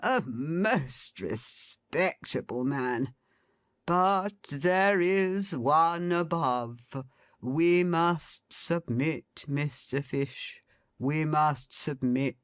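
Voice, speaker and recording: A woman's voice: sits low, lively intonation, slow, no room sound, studio quality, exaggerated voice. A woman voicing a pompous, sanctimonious male alderman who moralizes in grand, solemn phrases.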